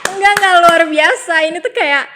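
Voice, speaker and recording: A young woman with a Jakarta Indonesian accent, telling personal stories in a casual, colloquial, animated way as a podcast co-host. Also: very high voice, moderate pace, noisy background. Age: young adult. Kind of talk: casual chat, personal storytelling.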